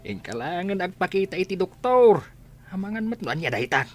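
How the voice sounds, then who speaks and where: A man's voice: high voice, big pitch swings, a little fast, soft background music. A man playing a blunt, fussing old husband who nags and exclaims in an Ilocano radio comedy drama. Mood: fussing, concerned.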